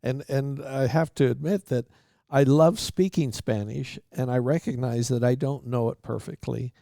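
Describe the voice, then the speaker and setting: A man, a podcast co-host and former mission president, chatting in a relaxed, good-humored way. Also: medium pitch, normal speed, vocal fry, dry recording, studio quality. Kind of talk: conversational interview.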